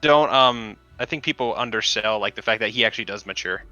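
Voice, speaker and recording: A man's voice: fairly low pitch, a little fast, bright, forceful tone, no room sound. A young man co-hosting a casual gaming podcast, rambling through ideas with frequent restarts and fillers. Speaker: podcast co-host. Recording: dull lo-fi sound with faint music.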